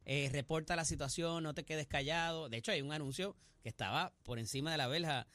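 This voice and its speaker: A man's voice: a little high, fairly quick, fairly bright tone, no echo. A man hosting a casual radio panel chat, thoughtful, with frequent fillers and tag questions. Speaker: radio show host.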